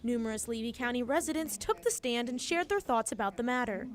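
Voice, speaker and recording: A woman's voice: fairly high pitch, animated, brisk. A young woman delivering scripted television news reports in a formal broadcast manner.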